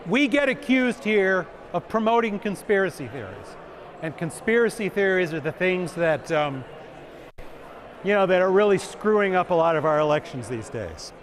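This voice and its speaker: A man with a big booming voice, giving a conference talk and answering questions with jokes. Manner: joking, self-deprecating, repeats questions aloud. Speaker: conference speaker. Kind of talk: presentation and Q&A.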